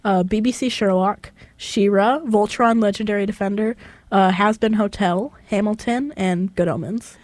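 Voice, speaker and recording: A woman's voice: mid-range voice, fairly even intonation, moderate pace, darkish tone, no room sound. A young woman from Tennessee with a light Southern accent, co-hosting a college radio chat show in a giggly, chatty way. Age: young adult. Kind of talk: casual chat.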